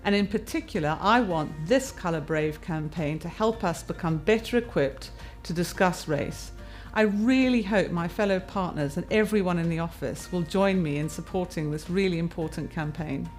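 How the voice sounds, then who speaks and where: A woman who is a partner at a firm, speaking earnestly and in a measured way in a corporate testimonial. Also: on the low side, darkish tone, soft background music. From England.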